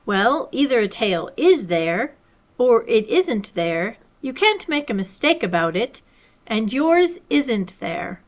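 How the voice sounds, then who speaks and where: Lady doing a helpful toy bear from a kids book, earnest n plain-spoken, takes his time, highish. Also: sing-song, slow. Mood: surprised, matter-of-fact.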